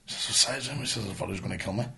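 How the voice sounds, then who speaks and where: A man with a Northern Irish accent co-hosting a podcast in casual, sweary banter, blunt and colloquial and quick to argue. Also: lowish voice, vocal fry, sharp tone. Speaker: podcast co-host.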